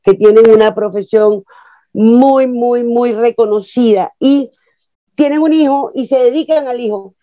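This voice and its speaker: A woman in her sixties, a guest on a video call, speaking with expressive energy in a slightly high, mellow voice. The sound is dull and lo-fi, picked up by an earphone mic in a small room at home with a dry, close sound. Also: measured pace, no echo. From Venezuela. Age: 60s. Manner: expressive, animated storyteller with lots of hand gestures. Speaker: actress and guest. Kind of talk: casual interview chat. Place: small room at home, plain wall behind.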